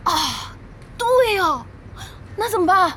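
A woman playing a lively, bossy young gaming teammate in an audio drama, expressive and quick to chide. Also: extremely high pitch, slight background noise, heavily acted. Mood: worried. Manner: expressive, chiding, exclamatory.

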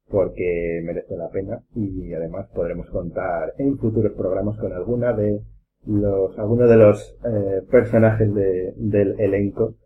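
A man with a Castilian Spanish accent hosting a casual round-table chat in an informal, rambling way. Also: sits low. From Spain. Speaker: podcast host. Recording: dull lo-fi sound, background noise.

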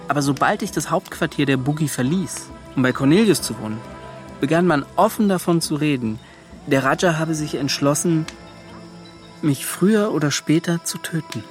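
Radio drama lead, male actor: defiant, dutiful young man, earnest delivery, voice a little high. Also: natural voice. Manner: earnest, resolute. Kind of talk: radio drama acting and first-person narration.

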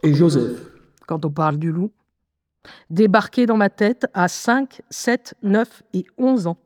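A woman's voice: lowish voice, slow pace, darkish tone, no room sound, clean studio sound. An actress playing a wry young woman who hears voices, reading her diary in a radio drama with ironic, confiding asides. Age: young adult. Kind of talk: radio drama first-person monologue.

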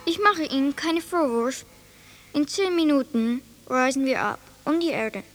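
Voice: high-pitched, animated, unhurried, a bit bright, full character voice. An actor in a German radio play voicing an eager child who earnestly play-acts and directs the game. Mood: brisk, commanding.

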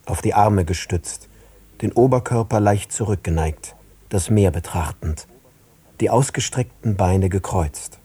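A man giving a scripted narration of literary prose, measured and delivered phrase by phrase. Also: lowish voice, dark tone, slightly rough.

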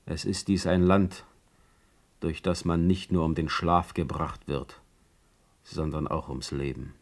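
A man's voice: rumbling low, steady tone, a little slow, exaggerated voice. A man playing a sardonic, imperious German poet in exile for a radio play, declaiming his words. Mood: bitter irony. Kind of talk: radio play acting and letter reading.

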